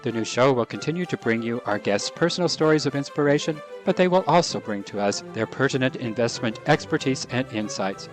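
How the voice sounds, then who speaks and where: A man's voice: mid-range voice, lively intonation, fairly quick, hardly any pauses, soft background music. A man hosting an interview podcast, upbeat and formal as he reads his introductions. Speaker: podcast host.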